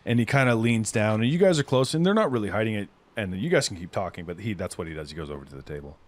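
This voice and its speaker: A man's voice: lowish voice, lively intonation, racing. A man running a tabletop game as game master, narrating scenes in a casual, conversational, descriptive way.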